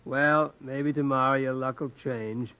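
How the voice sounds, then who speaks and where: An actor playing a mild, genial and unsuspecting gentleman in a 1940s radio drama. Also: mid-range voice, fairly even intonation, close to an everyday voice. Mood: genial, weary. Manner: genial, polite, unhurried. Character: mild, courteous gentleman walking home, unsuspecting. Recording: muffled 1940s radio recording.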